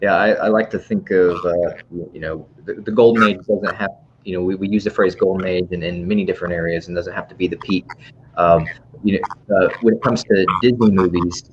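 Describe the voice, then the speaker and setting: A man's voice: deep voice, fairly even intonation, fairly quick. A man casually moderating an online library talk, reading out comments and chatting with a guest. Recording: dull lo-fi online call, clear background noise.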